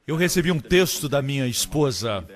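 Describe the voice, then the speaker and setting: A man preaching a sermon with emphatic delivery, climbing high in pitch on key phrases. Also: animated, normal speed, in a large hall. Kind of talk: Portuguese-dubbed sermon.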